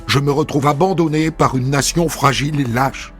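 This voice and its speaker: A man telling a first-person story as a narrator, in a measured, intimate reading. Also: fairly high pitch. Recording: dry studio voice over soft music.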